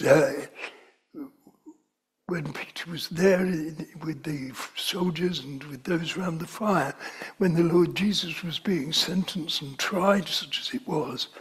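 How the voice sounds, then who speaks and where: A man's voice: on the high side, a bit bright. An older man with a London accent, preaching a sermon in a conversational, digressive way that rises to emphatic stresses. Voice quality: very breathy, often whispery.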